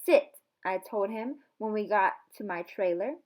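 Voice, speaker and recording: A woman teacher reading a storybook aloud and talking to her students in an expressive, encouraging way. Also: mid-range voice, normal speed. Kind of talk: storybook read-aloud and lesson to camera.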